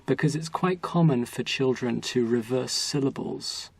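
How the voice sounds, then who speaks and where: A man speaking with a Southern English received-pronunciation accent, measured and reflective in a relaxed interview. His voice is gravelly and noticeably husky. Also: mid-range voice. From England. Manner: thoughtful, measured, with fillers and restarts.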